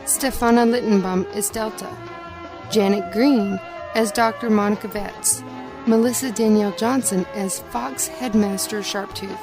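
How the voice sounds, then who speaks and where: A woman's voice: fairly high pitch, rough recording. A woman reading scripted credits as the announcer of an audio drama.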